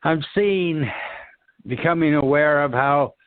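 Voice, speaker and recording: Slow, halting man on a narrow-band line. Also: fairly high pitch, dry recording. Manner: halting, searching for words, long pauses. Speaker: discussion participant. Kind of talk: unscripted spiritual reflection.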